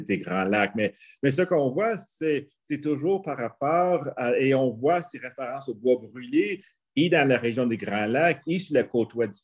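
A man's voice: mid-range voice, a little mellow, slightly rough. A man from northern Alberta with a Franco-Albertan French accent, an anthropology professor speaking on a video call panel, rambling with many restarts as he tells stories. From northern Alberta, Canada.

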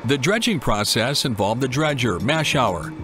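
Even-voiced male documentary narrator over music. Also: mid-range voice, moderate pace.

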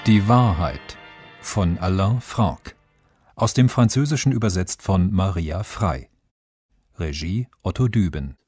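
A man with a husky voice reading radio drama titles and credits in a scripted style. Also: low voice, lively intonation, takes it slowly, a little mellow, dry recording, faint music behind.

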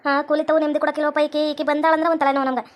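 A woman's voice: high-pitched, little pitch movement, rapid-fire, close to an everyday voice. A woman playing chatty, bickering village farm labourers in a cartoon skit, garrulous and colloquial, with a North Karnataka accent.

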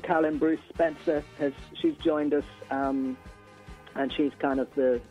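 A man's voice: on the high side, fairly even intonation, measured pace, highs cut off, soft background music. A man chatting casually as an interview guest, a musician who often repeats words as he feels his way. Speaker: interview guest, band singer and songwriter. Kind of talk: casual chat.

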